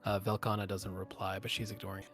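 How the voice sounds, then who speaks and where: A man's voice: fairly low pitch, little pitch movement, fast talker, music in the background. A man working as game master, narrating a tabletop role-playing game and checking in with players.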